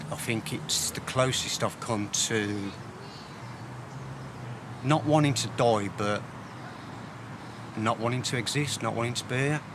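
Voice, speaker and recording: Traffic and outdoor ambience sit clearly behind a man with a fairly low voice. He speaks frankly and personally about his poems, hesitating and pausing as he reflects. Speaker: poet. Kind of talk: candid personal talk about own poems.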